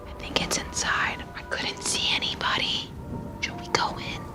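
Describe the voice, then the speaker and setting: A woman player in a tabletop game, whispering her lines. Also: moderate pace. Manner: whispering nervously. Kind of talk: casual tabletop play. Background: loud music score.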